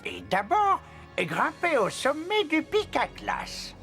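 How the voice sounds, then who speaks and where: A woman's voice: high-pitched, huge pitch swings, slow, fairly bright tone, faint music behind, full character voice. A woman voicing a kindly, worried elder in a Christmas cartoon, speaking solemnly and expressively. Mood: solemn, hopeful. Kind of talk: cartoon dub acting.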